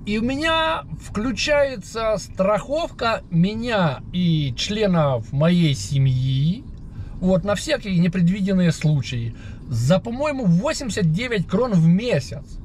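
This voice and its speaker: A man vlogging from behind the wheel in a casual, rambling monologue full of fillers and restarts. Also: high voice. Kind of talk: casual monologue while driving. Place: in a car. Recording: loud car noise. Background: car and street noise.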